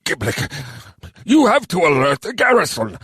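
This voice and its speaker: A man's voice: medium pitch, sing-song, normal speed, fairly bright tone, breathy edge, no echo, clean studio sound, pitched above the performer's own voice, heavily acted. A man voicing a scheming, irritable goblin mayor in an audiobook, grumbling and giving orders. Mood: snappish, hushed. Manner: grumbling, commanding. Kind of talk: audiobook character voice.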